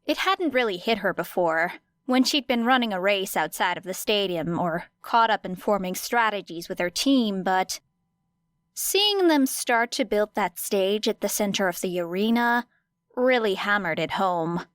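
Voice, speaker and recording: Slow, deliberate woman narrating fiction. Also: mid-range voice, smooth, no room sound, studio quality. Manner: deliberate, expressive phrasing with weighty pauses. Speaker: audiobook narrator. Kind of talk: dramatic scripted fiction narration.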